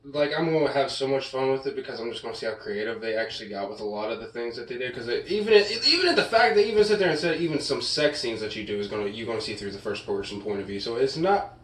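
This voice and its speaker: A man's voice: medium pitch, lively intonation, fast talker, fairly bright tone. A young man co-hosting a casual podcast, laid-back and joking, full of slang. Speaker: podcast co-host. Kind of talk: casual chat. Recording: roomy echo, distant mic, faint hiss.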